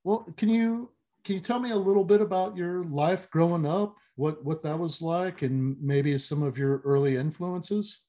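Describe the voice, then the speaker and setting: A man's voice: fairly high pitch, steady tone, warm tone. A man hosting a podcast interview, speaking with frequent fillers and restarts. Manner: halting, many fillers and restarts. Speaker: podcast host.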